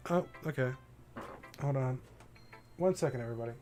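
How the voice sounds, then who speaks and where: A man's voice: mid-range voice, fairly quick, warm tone. A man livestreaming a visual novel, casually commenting and reading the game text aloud, with game music behind him.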